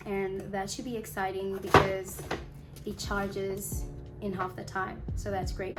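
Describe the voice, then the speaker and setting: A young woman with a slightly hoarse voice from a flu, chatting casually and rambling a little while she unboxes a phone. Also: mid-range voice. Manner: chatty, rambling, uses fillers like "um" and "like". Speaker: unboxing vlogger. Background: music in the background.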